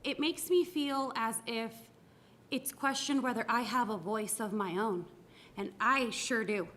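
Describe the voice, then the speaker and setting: A woman's voice: fairly high pitch, unhurried, a bit bright, a little hiss or hum. A woman answering as a school board candidate, earnest, switching between Spanish and English. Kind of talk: forum answer, personal and earnest.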